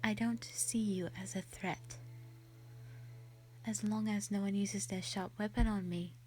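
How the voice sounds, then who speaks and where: Woman playing a slow-spoken, gentle shy dragon lady. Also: medium pitch, steady tone, a little hiss or hum, lightly acted. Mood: calm, firm. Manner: soft, breathy, hesitant, sweet and earnest. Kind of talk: roleplay audio drama, intimate one-sided dialogue.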